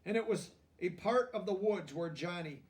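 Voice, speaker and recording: A man with a noticeably high voice reads a children's storybook aloud. He goes deliberately through the text and explains it in simple, plain words. The recording sounds distant, as if he is well away from the microphone. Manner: deliberate reading broken by softer, plain-worded explanations. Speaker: storybook reader. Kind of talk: children's picture book read-aloud with simple explanatory asides.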